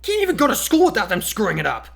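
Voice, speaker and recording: A man's voice: high voice, wildly swooping pitch, racing, gravelly, a bit bright, voice pushed up, full character voice. Man reading as an exasperated teenage hero student. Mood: exasperated, urgent. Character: teenage boy hero student, confident, protective, quick to outrage.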